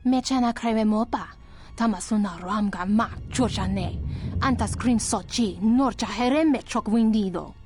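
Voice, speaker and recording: A woman's voice: mid-range voice, unhurried, loud music behind the voice, lightly acted. An actress voicing a female alien in a video game, speaking in clipped syllables. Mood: assertive.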